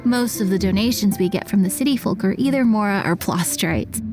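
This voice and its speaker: A woman's voice: medium pitch, a little fast, over music, close to an everyday voice. A woman playing a friendly, earnest project organizer in a fantasy video game, speaking politely as she explains.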